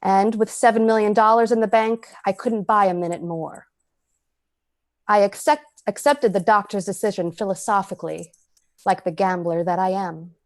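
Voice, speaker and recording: A woman playing a cold, predatory poisoner who narrates an old-time radio drama in a measured, dramatic way. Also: medium pitch, close to an everyday voice. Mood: calm, confiding. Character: cold, predatory, calculating poisoner telling the tale.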